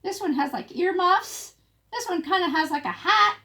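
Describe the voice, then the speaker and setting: Female picture-book reader for young children: highly animated, expressive, explanatory teacher delivery. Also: normal speed. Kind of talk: animated read-aloud storytelling.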